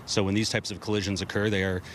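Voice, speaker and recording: Brisk, low-voiced man making official statement. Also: flat delivery. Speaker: police spokesperson. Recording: faint outdoor traffic noise.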